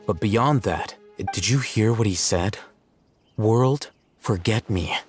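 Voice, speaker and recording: A man voicing a calm, aloof scholar who speaks in a measured, detached way in game dialogue. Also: lowish voice, slightly rough. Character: calm, aloof male scholar, dry and analytical. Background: game music.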